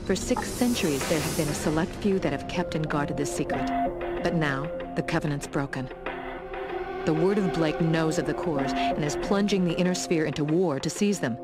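A woman's voice: deep voice, normal speed, over loud music, close to an everyday voice. A woman playing a grave secret agent in a video game, speaking with solemn, earnest gravity. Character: grave female secret agent revealing a conspiracy. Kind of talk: video game cutscene acting.

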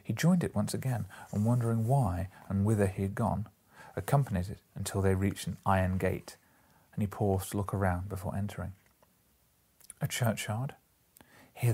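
A man in his forties reading a classic story aloud in a measured, solemn, dramatic storytelling manner. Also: deep voice, steady tone, dark tone, husky. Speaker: storybook reader. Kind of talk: dramatic literary narration. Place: small indoor room, seated in an armchair.

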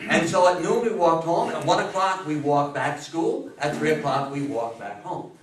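An American man in his sixties giving an interactive public talk, animated and theatrical, full of rhetorical questions. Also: a little high, normal speed, a little hiss or hum. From New York State, US. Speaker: lecturer giving a history talk. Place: small meeting room with seated audience. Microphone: far camera. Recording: echoey room, distant camera microphone.

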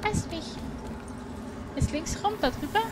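A woman's voice: very high voice, lively intonation, measured pace, noisy background. A woman chatting casually as she co-plays a video game, giving short plain replies and playful protests. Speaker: co-player in gaming video. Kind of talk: casual chat while gaming.

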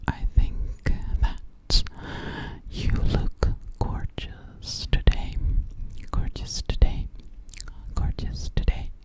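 A woman whispering breathily and soothingly, close to the microphone, repeating words in an ASMR personal attention roleplay as a sweet, slightly sassy alien girl. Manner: slow, repetitive, soothing, close to the mic. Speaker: ASMR creator playing a character. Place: small dim room, indoors. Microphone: microphone right at the mouth.

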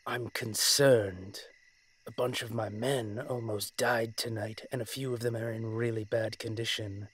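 A man's voice: lowish voice, level tone, unhurried, fairly bright tone, slightly rough. Man acting a weary, commanding mafia boss. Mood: grave, commanding. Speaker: voice actor in a roleplay audio. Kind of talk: dramatic roleplay monologue.

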